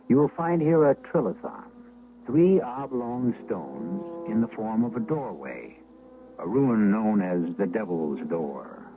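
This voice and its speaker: A man hosting as an ominous, measured storyteller in dramatic scripted radio narration. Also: lowish voice, phone-quality sound. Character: ominous storyteller introducing eerie tales. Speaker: host and storyteller. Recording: thin, hissy vintage radio recording.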